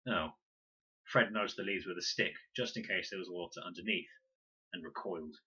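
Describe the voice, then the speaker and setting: A man reading a children's audiobook in an expressive, dramatic storytelling manner. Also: low voice, fairly quick, breathy edge, lo-fi sound. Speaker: reader.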